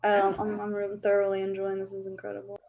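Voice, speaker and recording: A woman's voice: medium pitch, monotone, a crowd in the background. A woman in her twenties, a podcast guest calling in remotely, talking casually and swearing freely as she rattles off stories. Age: 20s. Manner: chatty, swears freely, fast storytelling. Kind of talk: casual chat. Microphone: remote voice call.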